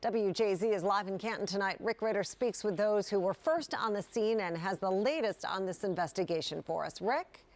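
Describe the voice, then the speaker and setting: A woman's voice: mid-range voice, moderate pace, few pauses, a little mellow. A woman anchoring a TV newscast in a studio, reading scripted news.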